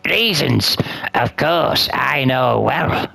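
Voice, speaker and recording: Someone plays a coy, scholarly outsider in a visual novel. The voice is heavily gravelly with a forceful edge, and the slow, halting lines swing theatrically in pitch. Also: expressive, no echo, full character voice. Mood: hurt, sulky. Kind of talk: acted visual novel dialogue reading.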